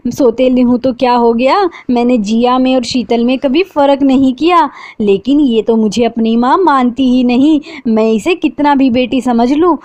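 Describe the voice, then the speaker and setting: A woman's voice: high voice, normal speed, hardly any pauses, darkish tone, pitched above the performer's own voice, lightly acted. A woman voicing a harsh, scheming middle-aged stepmother who scolds and complains. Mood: self-pitying. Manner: scolding, self-pitying.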